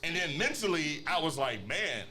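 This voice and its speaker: A man's voice: a little high, sing-song, moderate pace, pushed and bright. A man in his forties hosting a live podcast chat, upbeat and joking, thanking everyone. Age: 40s. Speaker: podcast host. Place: brewery taproom with audience.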